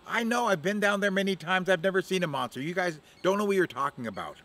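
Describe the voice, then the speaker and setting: Man putting on a brash bragging crow, loud and high, pushy, storybook trickster. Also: animated, fast. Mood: boastful. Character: boastful talkative male crow, loud and cocky.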